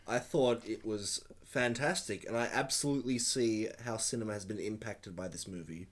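A man on a casual movie podcast, answering with dry, deadpan humor. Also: fairly low pitch, normal speed. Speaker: podcast co-host.

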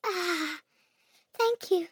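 A woman voicing a small injured female bird who is cold and frightened, speaking in a small high trembling voice and stammering over her words. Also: very slow, no echo, studio quality, full character voice. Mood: grateful.

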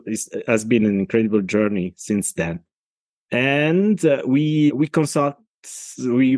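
A middle-aged man with an Italian accent, a consultant speaking as a podcast guest, talking in a halting way with many restarts. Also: mid-range voice, a little mellow, dry recording. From Italy. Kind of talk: casual interview talk.

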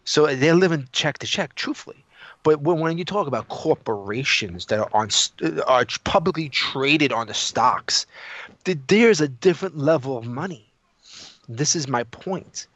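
A man's voice: a little high, expressive, normal speed, a bit bright, dry recording. A man in casual, opinionated podcast discussion, emphatic and repetitive, often throwing out rhetorical questions. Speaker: podcast co-host.

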